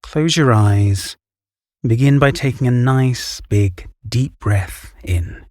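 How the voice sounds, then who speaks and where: A man guiding a relaxation meditation, giving calm, soothing, measured instructions. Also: mid-range voice, dry recording, studio quality. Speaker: meditation guide. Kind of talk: guided relaxation meditation, scripted narration.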